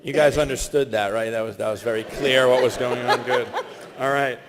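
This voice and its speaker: A man's voice: medium pitch, steady tone, a little fast, crowd noise. A man hosting a live comedy show in an echoey hall, casually announcing and joking with the cast and audience. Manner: jokey, addresses cast and audience. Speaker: host of live voice-acting show. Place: stage at a comic convention.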